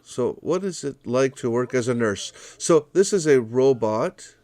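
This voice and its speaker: A man teaching at a college, walking through a software demo step by step in an online presentation over a video call. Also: mid-range voice, lively intonation, a little slow. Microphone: video call microphone.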